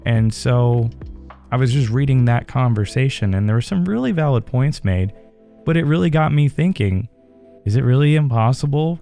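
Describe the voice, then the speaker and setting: A man hosting his gaming channel, talking in a relaxed, rambling way with fillers, his voice still strained from a head cold. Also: mid-range voice. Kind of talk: casual gameplay commentary.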